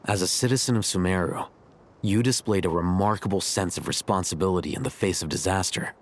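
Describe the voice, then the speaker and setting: An actor playing a calm, rational young male intellectual in a video game, with a measured, dry, matter-of-fact delivery. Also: low voice. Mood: dry, wry.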